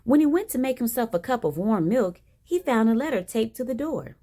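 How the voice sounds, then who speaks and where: A woman reading a children's picture book aloud in an expressive style with dramatic emphasis. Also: fairly high pitch, a little fast. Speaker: storybook reader. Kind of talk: animated children's read-aloud.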